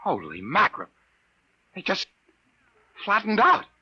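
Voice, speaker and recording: A man's voice: high-pitched, huge pitch swings, measured pace, very noisy, exaggerated voice. A man playing a brash, rattled private detective, slangy and blunt, in 1950s radio drama style. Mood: relieved, amazed. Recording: muffled vintage 1950s radio recording.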